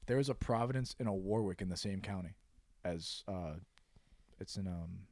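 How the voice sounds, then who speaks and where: A man's voice: low voice, normal speed, a little mellow, no room sound. An American man from New England co-hosting a podcast, talking casually and wandering off into loose asides. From New England, United States. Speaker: podcast co-host. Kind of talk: casual chat.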